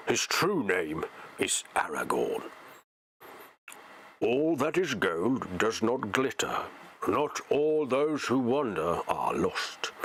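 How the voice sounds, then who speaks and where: A man voicing a grave old male wizard, measured and deliberate, for a storybook reading. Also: lowish voice, a bit bright, slight background noise, voice pushed down, heavily acted. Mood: urgent warning.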